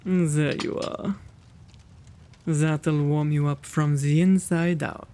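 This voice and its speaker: A man playing a kind, courtly, old-world gentleman vampire in an intimate ASMR roleplay, with genteel, old-fashioned phrasing and bashful stammers. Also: on the high side, fairly even intonation, slow. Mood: kind, soothing. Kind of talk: ASMR roleplay, intimate one-sided dialogue.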